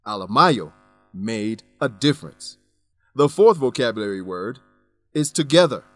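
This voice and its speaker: A man narrating a scripted English lesson, enunciating deliberately as he explains words and grammar. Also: mid-range voice, big pitch swings, a bit bright, dry recording, faint music behind.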